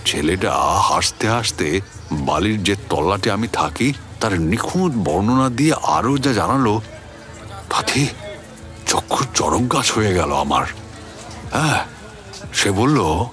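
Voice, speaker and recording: A man playing a puzzled, suspicious narrator of a radio story, conversational and expressive, with a West Bengal Bengali accent. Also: on the low side, takes it slowly, long pauses, soft background music. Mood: amazed, suspicious. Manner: conversational, expressive, self-questioning. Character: puzzled, suspicious man recounting a baffling encounter. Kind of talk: dramatized first-person storytelling for radio.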